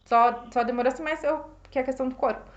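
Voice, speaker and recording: A Brazilian woman with a slightly hoarse voice, reflecting casually on a book for her live viewers, with many restarts, thanking people by name. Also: sits high, fairly even intonation, quick pace. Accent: Brazilian Portuguese. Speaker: host reading and reflecting on a self-help book. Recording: roomy, dull lo-fi live stream.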